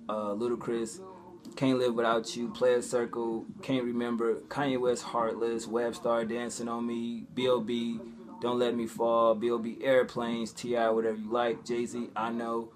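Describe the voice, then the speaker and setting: A man's voice: mid-range voice, completely flat delivery, normal speed. A young man chatting casually in a vlog, with informal, slangy speech and frequent swearing. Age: young adult. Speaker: vlogger.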